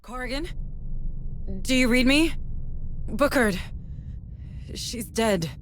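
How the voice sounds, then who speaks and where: A woman's voice: a little high, animated, takes it slowly, a little hiss or hum. An actress playing a tense, resourceful female officer hunted on a sci-fi station, urgent and commanding, in a horror game. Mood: panicked.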